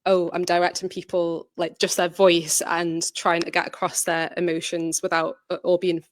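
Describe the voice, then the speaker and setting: A woman's voice: fairly low pitch, level tone, normal speed, no room sound. A woman giving an informal behind-the-scenes talk as a drama director, in a hesitant, conversational way that often rephrases. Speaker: director and writer of an audio drama, presenter.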